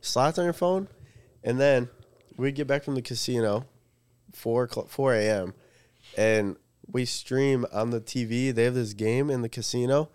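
A man's voice: medium pitch, measured pace, a little mellow, clear, resonant tone, no room sound. A man in his twenties, co-hosting a podcast and telling stories in a laid-back way with lots of fillers. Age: 20s. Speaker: podcast co-host. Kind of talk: casual chat.

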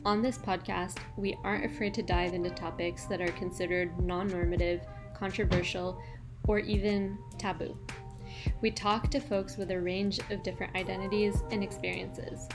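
A woman from Quebec whose first language is French, hosting her podcast and telling her own story in a relaxed, personal way with fillers. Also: mid-range voice, normal speed, over music. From Quebec, Canada. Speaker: podcast host. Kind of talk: casual solo podcast monologue.

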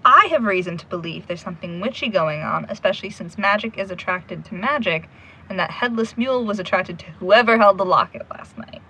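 A woman's voice: mid-range voice, animated, fairly quick, bright, forceful tone, breathy edge, full character voice, poor audio. A woman acting as a stubborn, sardonic young amateur investigator in an audio drama, blunt and dry in her delivery. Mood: insistent, wry.

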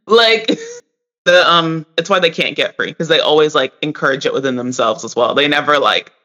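Bright-voiced laughing man. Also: on the high side, big pitch swings, normal speed. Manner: laughs often, lots of likes. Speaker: podcast co-host. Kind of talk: casual chat.

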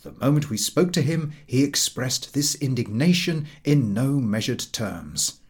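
A man narrating a comic travel story as an audiobook reader, in a wry, measured and expressive way. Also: medium pitch, slightly rough.

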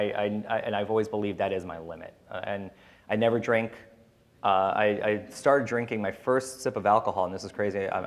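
A man speaking as a podcast host on a panel, telling personal stories in a casual, candid, rambling way with some swearing. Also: on the low side.

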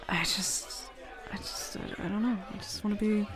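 A woman playing a flustered, smitten, jealous roommate in an intimate roleplay audio, speaking haltingly and nervously. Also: mid-range voice, fairly even intonation, close to an everyday voice. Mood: shy, tender. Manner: halting, nervous, stammering with breathy laughs. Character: flustered, smitten, jealous bad-girl roommate confessing a crush. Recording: bar crowd murmur behind voice.

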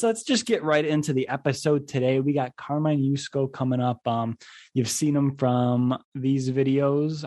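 A man's voice: medium pitch, darkish tone. A man in his mid-twenties hosting a podcast, talking casually and rambling with lots of "like". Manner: rambling, leans heavily on "like". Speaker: podcast host.

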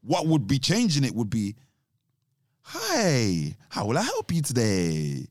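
A man's voice: medium pitch, wildly swooping pitch, slow, no room sound. Reflective man, dry close sound. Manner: reflective, explains at length with restarts. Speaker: podcast co-host. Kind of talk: casual chat.

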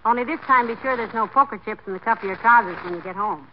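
Woman as wry middle-aged wife, highish voice, brisk, motherly, 1940s radio comedy, muffled sound. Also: natural voice. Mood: wry, chiding. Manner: brisk, gently chiding, motherly. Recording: dull, noisy 1940s radio recording.

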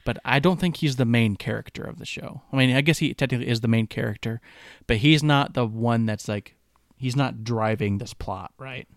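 A man's voice: mid-range voice, moderate pace, gravelly, dry recording, clean studio sound. A man co-hosting a casual podcast chat, talking loosely with many fillers and often checking for agreement. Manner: relaxed, filler-heavy, seeks agreement with "right?". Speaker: podcast co-host.

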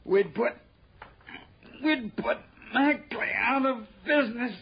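A dying young American man, gasps out a confession in slow, broken phrases. His voice is high and weak. The 1960s radio recording is dull. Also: creaky, husky, heavily acted. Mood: pained, fading. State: gasping, badly hurt, weak. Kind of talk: 1960s radio drama acting. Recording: dull, faintly noisy old radio recording.

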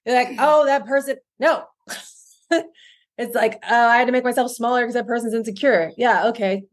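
Woman co-host, casual podcast chat, emphatic and lively, slightly higher voice. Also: normal speed. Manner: animated, emphatic, laughs often.

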